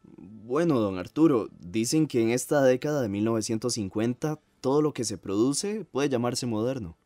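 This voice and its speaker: A man's voice: medium pitch, lively intonation, moderate pace, warm tone, dry recording, close to an everyday voice. Man acting as modest Costa Rican composer, dry sound. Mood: modest, reflective. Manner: formal, modest, reflective. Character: gracious, modest male 1950s classical composer being interviewed. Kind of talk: radio theatre interview acting.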